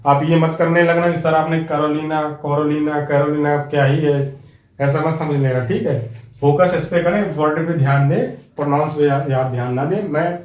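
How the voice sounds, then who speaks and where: A man's voice: on the high side, steady tone, moderate pace, a little mellow, roomy sound. A man with an Indian accent teaching an exam-prep class, repeating key facts and speaking straight to his students. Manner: repetitive, drilling facts, addresses students directly.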